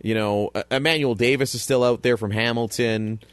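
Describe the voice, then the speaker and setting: A man co-hosting a casual sports podcast, chatty and easygoing, quick to laugh. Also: mid-range voice, fairly even intonation, clear, resonant tone, dry recording. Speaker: podcast co-host.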